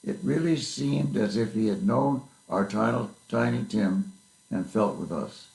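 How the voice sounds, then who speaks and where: Man playing a kind poor Victorian clerk, gravelly creak, earnest, gentle, affectionate, storybook reading in an echoey room. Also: on the low side, a little slow, a little hiss or hum. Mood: earnest, grateful. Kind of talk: dramatic reading of a classic story.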